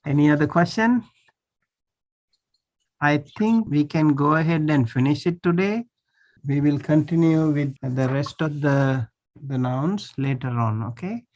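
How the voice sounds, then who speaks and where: A man teaches a class as a monk over a video call. His voice sits a little high and he speaks slowly and deliberately. He stops often to check that his students follow. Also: darkish tone, lo-fi sound. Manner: patient, checks understanding often. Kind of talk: online language class.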